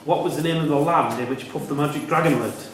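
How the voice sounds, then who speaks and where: A man's voice: on the high side, fast talker. A man co-hosting a quiz, casually announcing scores and calling on contestants. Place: echoey room at a cricket club. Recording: very echoey room, faint noise.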